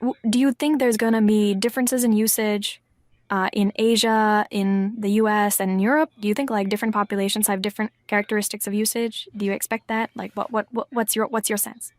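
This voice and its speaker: A woman's voice: mid-range voice, fairly even intonation, brisk, dry recording. A woman hosting a podcast interview, asking questions hesitantly and repeating words. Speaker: podcast host. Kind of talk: casual interview.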